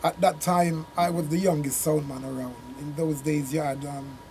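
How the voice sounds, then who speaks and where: An older man with a Jamaican accent, a reggae singer telling his story in a relaxed, rambling way in a small studio room. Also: on the high side, soft background music. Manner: unhurried, rambling recollection with Jamaican turns of phrase. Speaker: reggae singer and sound system man, interview guest.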